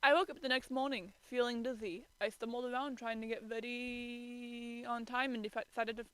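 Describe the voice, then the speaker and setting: Female amateur streamer, bright and high-ish, dramatic story reading with stumbles and exasperated asides. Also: level tone, normal speed, smooth, poor audio. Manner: stumbling over words, theatrically exasperated. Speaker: streamer reading fan fiction aloud.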